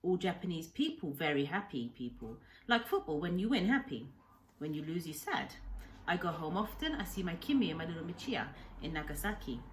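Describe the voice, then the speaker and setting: Kindly old Japanese castaway doctor played by a woman reader, halting emphatic phrases, swooping intonation, storybook telling. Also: on the low side, sing-song, a little hiss or hum, low-quality recording. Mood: grave, sorrowful. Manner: halting simplified English, earnest emphatic short sentences.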